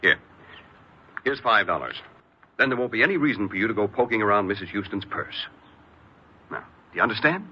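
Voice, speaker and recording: A dull old radio recording with faint noise. a stern middle-aged father, low in pitch and widely varied in intonation, brusque, exasperated and quick to dismiss. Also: sing-song, slightly rough. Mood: stern, impatient. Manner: brusque, impatient. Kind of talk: old radio crime drama acting.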